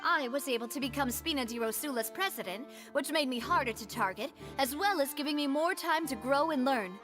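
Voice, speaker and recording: Casting: woman as high-pitched, determined young game heroine, dramatic delivery, over soft music. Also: fairly quick, lightly acted. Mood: resolute. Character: determined young woman, leader of a syndicate, seeking justice. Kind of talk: dramatic video game voice acting. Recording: music under the voice.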